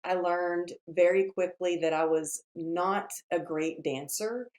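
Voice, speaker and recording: A woman from Texas with a Texan accent, telling her story as a guest in an earnest way with thoughtful pauses. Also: lowish voice, darkish tone. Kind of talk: storytelling interview.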